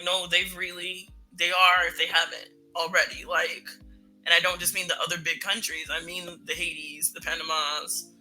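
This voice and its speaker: A woman co-hosting a podcast, chatting in an animated way with laughter and frequent "right?" tags. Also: fairly low pitch, rough recording. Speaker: podcast co-host. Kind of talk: casual podcast chat.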